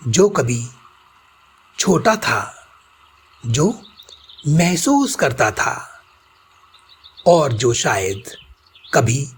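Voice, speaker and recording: A man with an Indian accent guiding a meditation, speaking gently and soothingly in short, deliberate phrases. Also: medium pitch, husky. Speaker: guided meditation host. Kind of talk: slow guided meditation narration.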